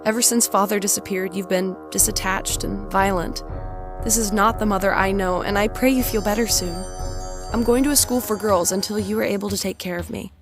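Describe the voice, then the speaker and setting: A woman's voice: mid-range voice, steady tone, fairly quick, natural voice. A young woman playing a bewildered, earnest teenage girl from the past in a hobby audio drama. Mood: sad, pleading. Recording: music playing behind the voice.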